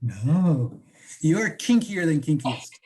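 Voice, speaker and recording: Woman acting nosy teasing friend, slightly low voice, very lively pitch, incredulous, comic stage dialogue. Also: slow, slight background noise, heavily acted. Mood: prying. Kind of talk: two-person comic one-act play, conversational acting.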